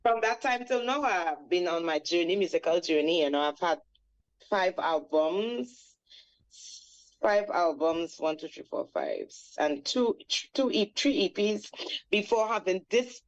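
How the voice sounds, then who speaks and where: A woman's voice: lowish voice, very animated, measured pace, lo-fi sound. A woman in her forties from Trinidad with a Trinidadian accent, a reggae singer guest recounting her life in a reflective, storytelling way with many fillers. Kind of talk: radio interview.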